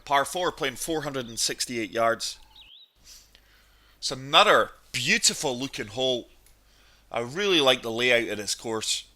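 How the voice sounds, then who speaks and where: A man's voice: medium pitch, pushed and bright. A man with a cold, chatting enthusiastically through golf gameplay commentary. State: has a cold, sniffly. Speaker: gaming channel host.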